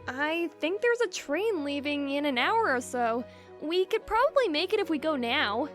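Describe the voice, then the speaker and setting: A woman voicing a brash, sporty female pegasus pony who speaks quickly and confidently. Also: sits high, animated, a bit bright, soft background music.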